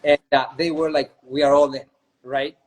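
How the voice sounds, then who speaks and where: A man's voice: fairly high pitch, flat delivery, moderate pace. A man from Chile, a spirits company co-founder, telling a long story in a casual interview over a video call, often checking in with "right?". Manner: chatty, often adds "right?".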